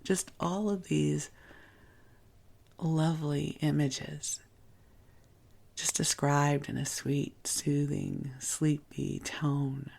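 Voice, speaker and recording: A sleep podcast host talking softly and gently in a breathy, husky, half-whispered voice, soothing and unhurried, as if keeping a listener company at bedtime. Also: mid-range voice, flat delivery. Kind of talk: soft, intimate sleep podcast talk.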